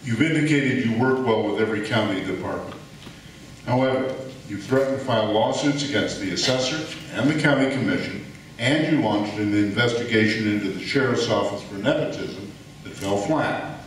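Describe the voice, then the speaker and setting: A man's voice: lowish voice, normal speed. A man moderating a debate, formally reading out a question for a candidate. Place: public debate in an echoey indoor room, mic at a distance. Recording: echoey room, distant mic, faint noise.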